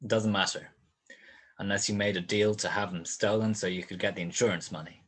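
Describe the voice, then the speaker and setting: A man's voice: lowish voice, fairly even intonation, brisk, fairly bright tone. A man playing a dry, world-weary private detective in a radio drama, questioning in a laconic, clipped way. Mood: wry, skeptical.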